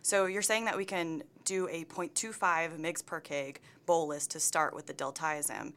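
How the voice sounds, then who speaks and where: A woman's voice: sits low, fairly even intonation, normal speed, a bit bright. A woman resident physician asking questions and summarizing answers in a teaching discussion. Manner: inquisitive, summarizing.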